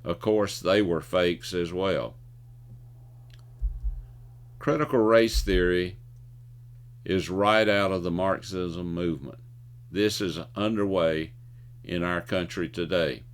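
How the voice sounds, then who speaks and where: Deep-voiced folksy older man. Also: slow, long pauses. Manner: folksy, opinionated, stumbles over words while reading. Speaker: host of a video commentary show. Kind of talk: scripted commentary with jokes and anecdotes.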